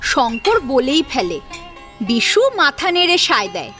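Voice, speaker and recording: A woman's voice: high voice, big pitch swings, a little slow, sharp tone, soft background music. A woman narrating a scripted family drama as a storyteller, in a dramatic audio-story style.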